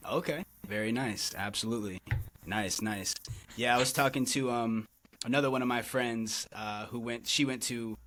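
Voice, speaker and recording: A man's voice: mid-range voice, normal speed, fairly bright tone. A man hosting a casual interview podcast, upbeat and encouraging, often answering with "for sure, man.". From Tampa area, Florida. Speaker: podcast host.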